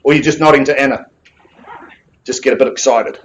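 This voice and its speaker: A man with a New Zealand accent and a big booming voice, calling bids in rapid rhythmic patter with quips as a live property auctioneer in a room of bidders. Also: rough recording.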